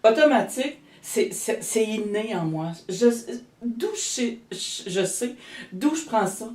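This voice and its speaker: A woman's voice: fairly high pitch, lively intonation, measured pace, a little mellow, recorded from a distance. A woman with a Québécois French accent speaks as a healer in a casual interview. She rambles and restarts her sentences. The room is echoey and she sits back from the mic. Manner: rambling, many restarts and fillers. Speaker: energy healer guest.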